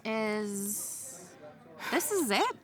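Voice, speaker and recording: A woman's voice: medium pitch, big pitch swings, drawn-out words, heavy background noise. An actress playing a bored, snarky young woman with a whiny, dismissive delivery in an audio comedy. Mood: disgusted.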